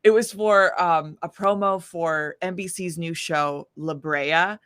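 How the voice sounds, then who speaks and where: A woman in her thirties with a slightly low voice, recorded close and dry. She talks as an enthusiastic interview guest in a casual, chatty way, gushing along with plenty of filler words. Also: slow pace, clear, resonant tone, dry recording. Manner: animated, gushing, with frequent "like" and "you know". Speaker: interview guest, independent singer-songwriter.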